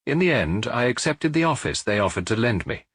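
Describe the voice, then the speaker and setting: A man voicing an earnest, deferential provincial police inspector who explains things briskly in an audiobook. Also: mid-range voice, sing-song, a bit bright, breathy edge, no echo, studio quality. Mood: businesslike.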